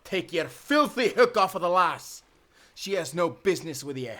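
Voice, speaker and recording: Man playing a high-voiced, bold Scottish timberman. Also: sing-song, moderate pace, fairly bright tone. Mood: defiant. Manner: gruffly defiant, swaggering. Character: bold, defiant Scottish timberman, brawling adventurer. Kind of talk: audio drama acting.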